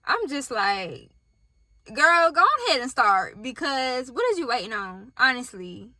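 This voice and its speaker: Bright-voiced Southern woman vlogging in car. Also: on the high side, animated, a little slow, dry recording. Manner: candid, chatty, talks to viewers directly. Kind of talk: casual talk to camera. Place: parked car. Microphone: phone on tripod.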